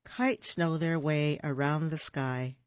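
A woman's voice: low-pitched, fairly even intonation, takes it slowly, no room sound, muffled recording. A woman reading as a patient big sister. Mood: explaining.

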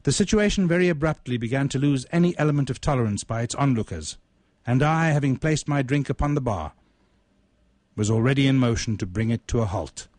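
A man reading his own literary short story aloud in a deliberate, measured, ornate manner. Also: mid-range voice, expressive, darkish tone, dry recording.

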